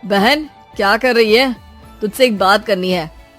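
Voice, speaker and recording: A woman voicing an earnest, trusting young brother in an animated story, talking softly and hesitantly. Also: mid-range voice, a little slow, faint music behind, natural voice. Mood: confiding. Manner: soft, hesitant, confiding.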